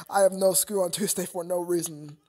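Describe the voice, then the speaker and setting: A man's voice: sits high, steady tone, moderate pace, dark tone, faint music behind. A young man chatting casually with viewers on his live stream, rambling and stammering with frequent laughter.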